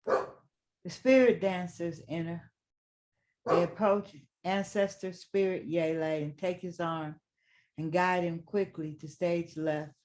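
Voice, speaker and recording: A woman reading stage directions for a play in a measured, deliberate manner. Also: on the low side, fairly even intonation, a little mellow.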